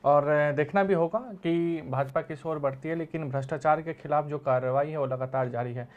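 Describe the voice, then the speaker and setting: A man's voice: on the high side, moderate pace, phone-quality sound. A man hosting a political discussion programme as its presenter. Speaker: talk show host.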